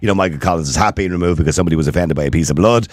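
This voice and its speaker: A man's voice: deep voice, very fast, dry recording. An Irish man hosting a radio phone-in, talking emphatically, cutting in on callers, and voice jumping up when he is indignant. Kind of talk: opinionated talk radio chat.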